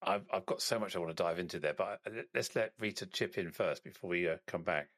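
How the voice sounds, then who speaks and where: A man's voice: deep voice, fast talker, a bit bright, dry recording, studio quality. A man hosting a conversation in a friendly way, often stumbling and restarting his sentences. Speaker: host.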